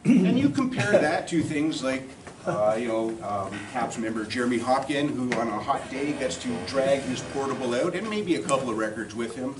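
A middle-aged man giving an informal talk in a halting, rambling way. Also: medium pitch, lively intonation. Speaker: co-presenter at a society meeting. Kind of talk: informal, loosely scripted talk. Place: classroom or lecture room, indoors. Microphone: far camera. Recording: echoey, distant, noisy camera recording.

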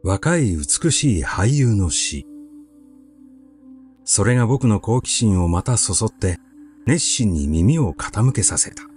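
A man reading a literary story aloud in a measured, expressive, conversational storytelling manner, close to the microphone. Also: on the low side, soft background music. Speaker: audiobook reader.

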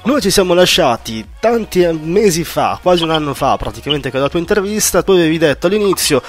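A man's voice: fairly high pitch, sing-song, fairly quick. Chatty male radio host, music behind. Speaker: radio show host interviewing a guest. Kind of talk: casual radio interview.

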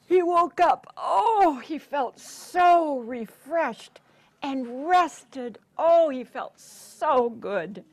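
Older lady reading to little kids, really slow n dramatic, voice goes up and down a lot. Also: high-pitched, slightly rough. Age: 60s or older. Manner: theatrical, deliberate, with drawn-out words and questions to the listeners. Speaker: children's story time storyteller. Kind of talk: animated read-aloud storytelling to young children. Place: children's story room in a public library.

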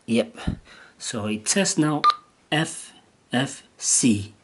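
A man who is not a native English speaker gives a casual, unscripted hands-on demonstration, hesitantly thinking aloud in halting phrases. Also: mid-range voice, lively intonation. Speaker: amateur radio hobbyist demonstrating gear. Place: indoors at a wooden table. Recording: clear background noise on handheld camera audio.

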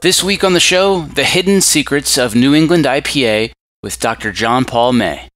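A man hosting a podcast, friendly and measured as he introduces his guest and asks questions. His voice sits at an ordinary middle pitch. Faint guitar music plays behind him. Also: a bit bright. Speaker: podcast host. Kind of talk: scripted intro and interview questions.

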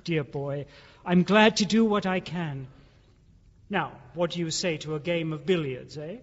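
An actor playing a genial, avuncular country gentleman in a radio drama, hearty and slyly affable. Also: fairly high pitch, a little slow. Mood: hearty, reassuring. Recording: dull, noisy old radio-play sound.

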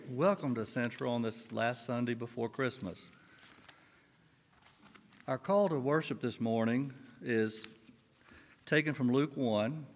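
Older man praying in a reverberant church. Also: medium pitch. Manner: measured, earnest reading. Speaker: worship leader. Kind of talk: scripture reading and prayer. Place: church sanctuary. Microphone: pulpit mic. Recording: church hall with long reverb.

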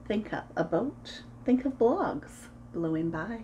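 A woman in her thirties reading a picture book aloud to preschoolers in an expressive, sing-song way, as host of a story time. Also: mid-range voice, slow, slight background noise. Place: small room at home, indoors.

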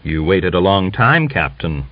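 Man playing terse Cossack commander, sceptical, reserved, low pitch, dry audiobook sound. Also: sing-song, measured pace, no echo, heavily acted. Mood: curt, sceptical. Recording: dull lo-fi audiobook recording.

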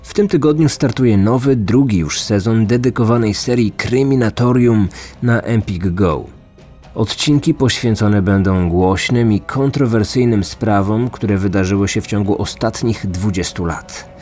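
A young man hosting a true crime podcast, reading scripted narration in a measured, deliberate way. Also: on the low side, darkish tone. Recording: music bed behind the voice.

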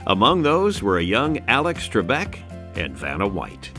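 A low-voiced man narrates a documentary from a script. His delivery is very animated in pitch, and music plays behind him. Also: unhurried.

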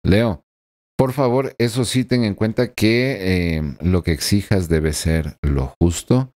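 A man in his forties reads tarot into a desk microphone in a small room at home. His voice sits low and sounds soft and mellow. He speaks earnestly and keeps checking in with the listener. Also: takes it slowly. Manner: earnest, addresses the listener directly with frequent tag questions. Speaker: tarot reader. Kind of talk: weekly horoscope tarot reading. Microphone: desk microphone in front of him.

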